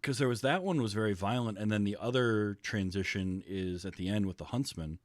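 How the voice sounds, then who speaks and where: Guy podcast host, deep voice, dry close sound, just chatting movies. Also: a little fast, no echo. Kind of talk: casual movie discussion.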